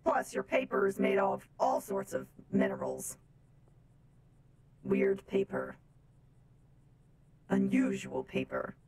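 A woman's voice: rumbling low, huge pitch swings, takes it slowly, vocal fry, long pauses, darkish tone, pitched below the performer's own voice, full character voice, poor audio. A woman voicing a stilted, literal-minded female robot from space in improvised game roleplay, with formal robotic phrasing. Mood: stilted, haughty.

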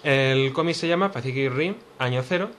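A man's voice: mid-range voice, moderate pace, fairly bright tone, slight background noise. A man from Spain hosting a casual podcast chat among friends, presenting the topic with hesitant fillers. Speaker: podcast host.

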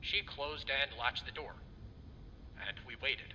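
Wary male investigator, very bright tense voice, dramatic formal testimony, radio drama, long hall reverb. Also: mid-range voice, takes it slowly, muffled recording. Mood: uneasy recounting. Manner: dramatic, emphatic, formal wording. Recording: hollow reverberant hall, faint noise.

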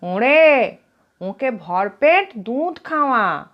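A woman voicing the bossy, fretful ghost of a dead mother with a nasal, drawn-out delivery. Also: on the high side, lively intonation, dull audio.

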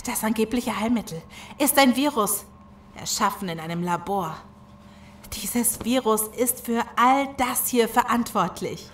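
A defiant captive in an audio drama. Her voice is a little high and resolute. Faint ambience lies behind her. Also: animated, a little slow, a little hiss or hum. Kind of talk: German audio drama acting.

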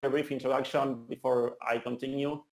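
A man's voice: medium pitch, level tone, brisk. A man in his thirties from Argentina with an Argentinian accent, giving an earnest, enthusiastic conference talk. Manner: earnest, enthusiastic, some restarts. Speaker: conference speaker. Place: meetup venue hall. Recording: roomy hall sound.

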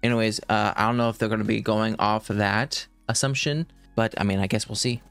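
A man's voice: on the low side, steady tone, fairly quick, a bit bright, smooth, soft background music. A young man giving casual reaction commentary as a video host, close to the mic in a bedroom. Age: young adult. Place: small bedroom, indoors. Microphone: close studio mic on boom arm.

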